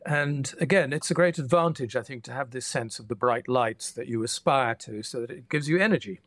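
An older man from the Netherlands, a guest historian, answering interview questions in a measured, reflective way. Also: mid-range voice, a bit bright, no room sound, clean studio sound. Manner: measured, thoughtful, with frequent restarts.

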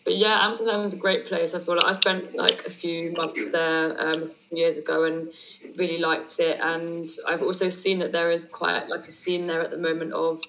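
A woman's voice: lowish voice, little pitch movement, moderate pace, pushed and bright, rough recording. A woman hosting an interview, asking friendly questions with fillers and restarts. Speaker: host. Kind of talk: casual interview chat. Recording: faint background noise.

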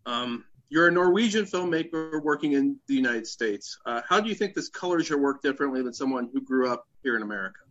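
A man's voice: mid-range voice, lively intonation, fast talker, hardly any pauses, fairly bright tone. Man interviewer with a dull lo-fi sound. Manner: brief affirmations and polite prepared questions. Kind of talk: interview questions.

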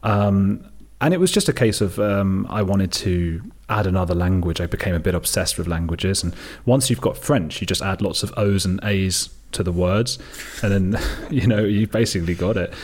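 Deep-voiced English man, chatty and joking. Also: normal speed, darkish tone. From England. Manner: chatty, joking, self-deprecating. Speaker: guest. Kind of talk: casual conversation.

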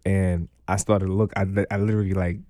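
A man's voice: deep voice, little pitch movement, fast talker, mellow, no room sound, studio quality. A man from Guyana who raps, talking loosely on a podcast with slangy, rambling sentences. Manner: rambling, slangy, lots of likes and restarts. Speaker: rapper guest. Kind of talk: casual interview chat.

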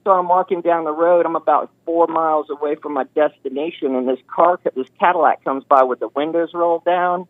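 A man's voice: a little high, a little fast, hardly any pauses, no room sound. Man in his fifties on a phone line. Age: 50s. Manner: folksy, animated storyteller acting out quoted voices. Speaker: caller. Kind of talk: casual storytelling on a call-in show.

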